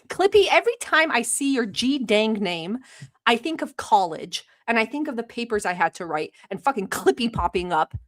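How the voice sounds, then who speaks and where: A woman's voice: on the high side, a bit bright. Chatty, teasing Turkish-born woman streamer. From Turkey. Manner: chatty, teasing, laughs often, swears casually. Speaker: livestream host. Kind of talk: casual livestream chat reacting to a video.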